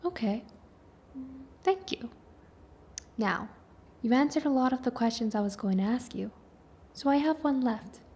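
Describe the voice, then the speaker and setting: Composed female interrogator, played by a woman: mellow, breathy, polite and measured, soft ASMR drama delivery. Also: a little high, animated, exaggerated voice. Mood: calm, businesslike. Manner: measured, formal, polite questioning. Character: composed female official interviewing an invasion survivor.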